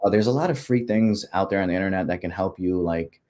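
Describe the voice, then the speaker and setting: Man, very low voice, relaxed conversational interview guest, english not his first language. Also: fast talker. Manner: relaxed, conversational, many fillers and tag questions. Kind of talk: casual interview chat.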